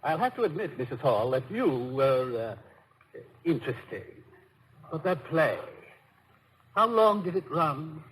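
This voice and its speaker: A man playing a stiff, blunt, formal gentleman visitor, dry and hesitant, in 1950s radio comedy-drama style. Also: a little high, big pitch swings, measured pace, pauses often, rough recording. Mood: politely blunt. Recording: old radio recording with faint noise.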